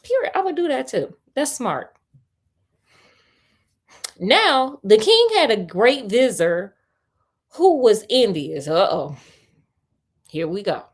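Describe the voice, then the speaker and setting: A woman host reading a story aloud on a livestream, breaking in with playful, colloquial asides and expressive delivery. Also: on the high side, slow pace, long pauses, husky.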